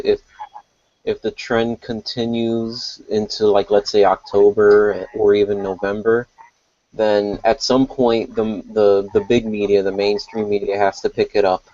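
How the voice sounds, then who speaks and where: A man with a lowish voice talks very slowly and stammers a little as he begins. The sound is dry and close, but it has the muffled tone of lo-fi call audio. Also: warm tone, no room sound. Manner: slow, measured, stammers at starts. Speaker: panel guest. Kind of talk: casual online panel chat. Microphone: video call.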